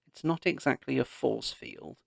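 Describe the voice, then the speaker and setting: A man voicing a caring, calm mother in a children's story, gently explaining things. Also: medium pitch, moderate pace, vocal fry, no room sound, clean studio sound, voice pushed up. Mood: wry, caring.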